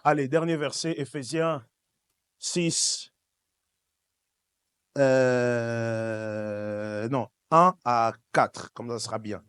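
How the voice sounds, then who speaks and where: A man's voice: mid-range voice, very slow, long pauses, fairly bright tone, clear, resonant tone, no echo, clean studio sound. A man from Switzerland preaching a sermon, emphatic and repetitive, addressing his congregation directly. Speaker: pastor, preacher. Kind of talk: sermon with call and response. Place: church service, speaking to congregation.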